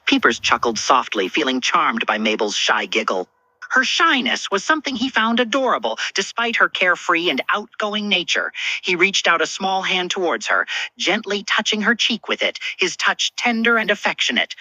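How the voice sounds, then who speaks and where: Bright, animated woman narrating fan fiction. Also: fairly low pitch, normal speed, no room sound, low-quality recording.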